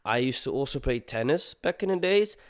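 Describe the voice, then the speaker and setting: A man's voice: mid-range voice, animated, fairly quick, no room sound, studio quality. Casual young man rambling to viewers. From Netherlands. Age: 20s. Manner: rambling, slangy, swears, talks straight to the audience. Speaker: podcast host. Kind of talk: casual solo monologue to viewers.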